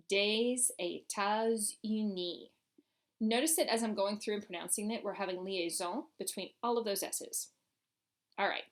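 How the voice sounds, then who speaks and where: Woman teaching a French lesson. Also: medium pitch. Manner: instructional, enunciates French examples slowly and deliberately. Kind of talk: recorded grammar lesson, explanatory.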